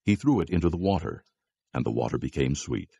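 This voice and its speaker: A man narrating scripture from a script, in a measured storytelling manner. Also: a bass voice, lively intonation, gravelly, warm tone, slightly rough, dry recording.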